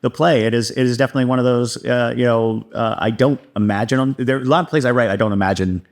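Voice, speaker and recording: A man's voice: medium pitch, fairly even intonation, fast talker. A man speaking casually as a guest playwright in an interview.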